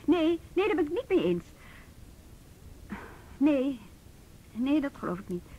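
A woman's voice: high voice, big pitch swings, measured pace, dark tone. An actress playing a reluctant, principled policewoman in a Dutch radio drama, speaking in a hesitant, conversational way. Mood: disbelieving, firm. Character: reluctant, principled youth police officer, adult woman.

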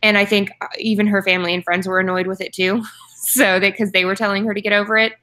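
A young woman talks books over a webcam from her room at home. Her voice is bright and clear, and she speaks in a chatty way, full of ums and self-corrections. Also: medium pitch, little pitch movement, quick pace. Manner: chatty, frequent ums and restarts. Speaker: book club co-host. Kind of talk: casual video call chat. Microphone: webcam on video call.

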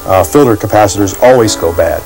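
A man's voice: on the low side, a little fast, over music, poor audio. A man explaining as he works, hesitant and thinking aloud, on 1980s TV location sound. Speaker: radio restoration hobbyist. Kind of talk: unscripted explanation while working.

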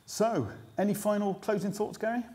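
A man in his forties with a British accent, hosting a post-match basketball analysis in a measured, explanatory way, seated in a small indoor room. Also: high-pitched, steady tone, mellow. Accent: British English. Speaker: host. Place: small indoor room before a sponsor backdrop.

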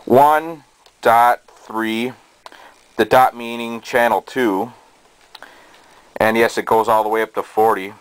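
A man giving a casual show-and-tell in a halting, hesitant way, recorded indoors on a handheld camera's microphone. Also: mid-range voice, clean tone, no echo, rough recording. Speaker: hobbyist presenter. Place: small cluttered bedroom indoors. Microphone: handheld camera's built-in microphone. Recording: steady noise, amateur camera sound.

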